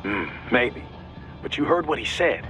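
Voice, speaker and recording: Animated mission support adviser. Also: male voice, medium pitch, unhurried, soft background music. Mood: serious, skeptical. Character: savvy, skeptical male mission support adviser. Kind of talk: video game cutscene acting.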